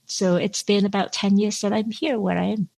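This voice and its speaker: A woman's voice: mid-range voice, fairly even intonation, normal speed, vocal fry, a little mellow, breathy edge. A woman in her forties from Hong Kong, a podcast guest telling her own story in a reflective way and laughing often. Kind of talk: personal interview storytelling.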